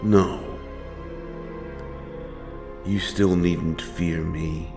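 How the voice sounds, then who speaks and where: A male actor performs a grim monster hunter from a dark fantasy audio drama, his voice very low and his delivery slow. He speaks in solemn, archaic, threatening phrases, stern yet honourable, with music playing behind him. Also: steady tone, full character voice. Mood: stern, menacing.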